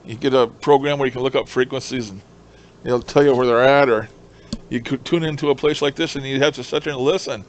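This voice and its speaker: A man's voice: medium pitch, fairly even intonation, a little fast, slight background noise, low-quality recording. A man chatting casually in a folksy, rambling way as he explains his hobby. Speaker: shortwave radio hobbyist presenter.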